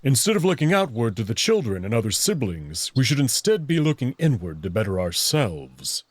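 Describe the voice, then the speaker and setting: Man voicing Amicus, an expressive, proud alien prince. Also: medium pitch, no room sound. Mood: assured, explanatory. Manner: assured, measured, formal phrasing. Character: Amicus, proud protective furry alien prince, an older brother. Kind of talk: visual novel character acting.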